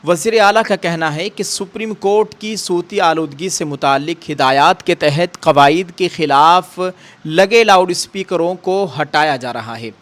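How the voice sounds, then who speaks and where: A male news presenter with a slightly high voice delivers scripted commentary straight to viewers. His delivery is formal and emphatic, built around pointed rhetorical questions. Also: normal speed, a bit bright.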